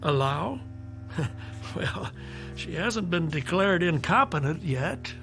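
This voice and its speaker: An actor playing a kindly family doctor in a radio drama, soothing and gently skeptical with a lightly teasing bedside manner. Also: male voice, on the high side, expressive, takes it slowly, vocal fry, soft background music, heavily acted. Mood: genial, teasing. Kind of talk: modern radio drama acting.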